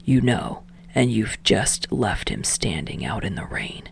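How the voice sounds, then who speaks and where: A woman voicing a weary, exasperated male film director in a low, flat, hushed delivery for an audiobook story. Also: a little slow, gravelly, a little hiss or hum, heavily acted. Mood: quiet, grave.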